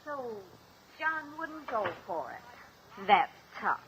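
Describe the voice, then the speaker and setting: An actress playing a coolly taunting secretary turned blackmailer, needling and mock-sweet, in a vintage radio crime drama. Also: female voice, high-pitched, sing-song, extremely slow, heavily acted. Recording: dull, noisy old radio recording.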